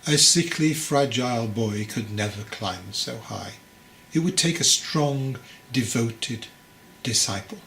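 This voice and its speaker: A man voicing a venerable old monastery master in a storybook, calm, measured and gently probing. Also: medium pitch, vocal fry, roomy sound, a little hiss or hum, full character voice, low-quality recording. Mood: gently stern. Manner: measured, questioning, teasingly patient. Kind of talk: storybook character dialogue.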